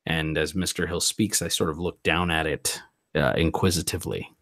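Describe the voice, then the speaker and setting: A man's voice: very deep voice, steady tone, normal speed, fairly bright tone, no room sound, studio quality. A man playing a mystery role-playing game, describing his character's actions in a wordy, slightly formal way with restarted phrases.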